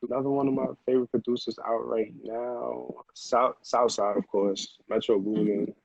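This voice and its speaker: A man's voice: medium pitch, flat delivery, moderate pace, gravelly, breathy edge, no echo, lo-fi sound. An American man chatting casually as an interview guest, with slangy phrasing and a habit of repeating himself. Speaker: music producer, interview guest.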